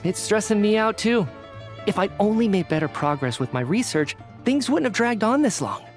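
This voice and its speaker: A man's voice: high-pitched, moderate pace, faint music behind. A man playing a weary, affable government researcher in a video game, polite and somewhat hesitant. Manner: polite, hesitant, sighing, expressive.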